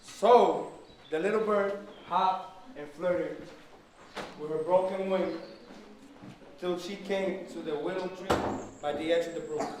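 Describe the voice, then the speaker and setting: Man as an old forest king, kindly puppet-show storyteller, highish voice, very echoey distant recording. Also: fairly even intonation, slow pace, long pauses. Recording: very echoey room, distant mic, faint noise.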